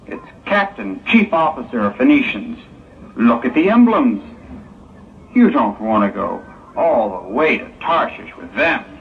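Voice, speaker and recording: An actor playing a talkative, inquisitive Hebrew ship agent with emphatic, persuasive patter in an old audio drama. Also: male voice, medium pitch. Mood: surprised, nosy. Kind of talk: 1960s audio drama acting. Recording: muffled, noisy old phone-like audio-drama tape.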